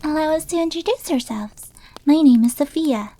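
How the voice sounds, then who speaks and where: A woman's voice: high-pitched, normal speed, dark tone, lightly acted, poor audio. A woman acting as a sweet, submissive, obsessively devoted young maid girlfriend in an ASMR roleplay, coy and eager to please.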